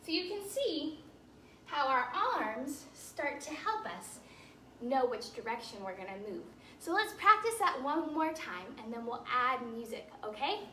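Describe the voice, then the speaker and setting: A woman's voice: high-pitched, sing-song, unhurried, bright, forceful tone, slight background noise. A woman teaching a ballet class to children, giving simple step-by-step instructions and frequent encouragement. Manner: encouraging, praising often, simple child-directed explanations. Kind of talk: instructional ballet class for kids, counting out steps. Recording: roomy echo, music in the background.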